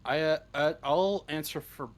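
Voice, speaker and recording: A man chatting casually as an interview guest, halting and self-deprecating, with casual swearing. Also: on the high side. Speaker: interview guest, script writer. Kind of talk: casual chat.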